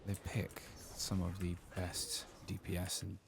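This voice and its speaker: A man speaking softly and often whispering in ASMR style as he comments on a game he plays, close to the microphone. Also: low-pitched, completely flat delivery, noisy background. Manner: hushed, reads quest text aloud slowly. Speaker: ASMR gaming streamer narrating a playthrough.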